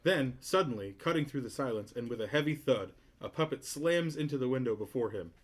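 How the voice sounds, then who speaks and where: A man's voice: mid-range voice, lively intonation, fairly quick. A man reading out stage directions as scripted narration at a table read.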